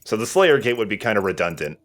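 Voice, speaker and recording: A man's voice: on the low side, very animated, quick pace. A man hosting a let's play, chatting casually and jokingly as he plays. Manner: joking, rambling. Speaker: let's play host playing the game. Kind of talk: casual gameplay commentary chat. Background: video game music.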